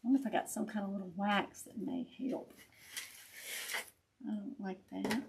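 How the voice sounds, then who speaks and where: A woman with a raspy voice, chatting casually with viewers as she hosts a live craft tutorial. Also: mid-range voice, steady tone, moderate pace, background noise. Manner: chatty, laughs often, talks to viewers as y'all.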